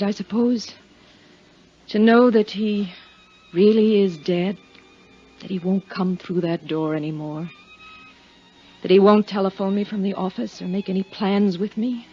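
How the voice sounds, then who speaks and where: An actress in her twenties playing a lonely young widow in a 1950s radio drama, speaking in a soft, hesitant, confiding way. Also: medium pitch, natural voice. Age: 20s. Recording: muffled 1950s radio broadcast.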